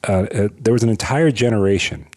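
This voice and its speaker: A man's voice: fairly low pitch, a little fast, a little mellow. A man, a chiropractor, explaining health topics as a podcast guest, talking with energy and frequent restarts and repeated words. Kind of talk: conversational interview, explaining.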